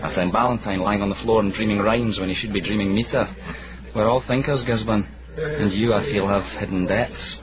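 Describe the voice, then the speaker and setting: An actor playing a sardonic, hard-drinking advocate in a radio drama, wry and theatrically declaiming. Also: male voice, on the low side, fairly quick, a crowd in the background, close to an everyday voice. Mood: merrily philosophical. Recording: muffled radio recording, crowded tavern.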